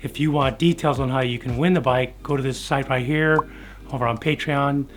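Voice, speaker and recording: Slightly hoarse American man over music. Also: mid-range voice, fairly even intonation, normal speed. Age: middle-aged. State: slightly hoarse from being sick. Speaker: host of a motorcycle build series. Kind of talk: casual how-to narration to viewers. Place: home garage workshop.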